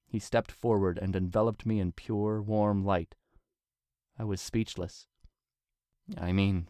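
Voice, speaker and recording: A man narrating a story in an earnest, deliberate, dramatic reading style. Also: lowish voice, a little mellow, no room sound, studio quality. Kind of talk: dramatic scripted narration of fan fiction.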